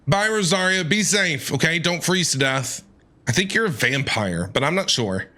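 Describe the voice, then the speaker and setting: A man's voice: fairly high pitch, expressive, normal speed, bright, forceful tone, soft background music. A man streaming a game with playful, casual reaction commentary, talking back to the characters.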